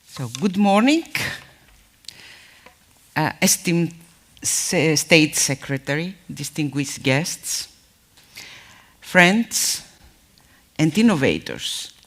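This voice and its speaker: Slow, measured man giving a welcome speech. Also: fairly high pitch, lively intonation, a bit bright. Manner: measured, hesitant with many fillers. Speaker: conference speaker. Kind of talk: formal welcome address.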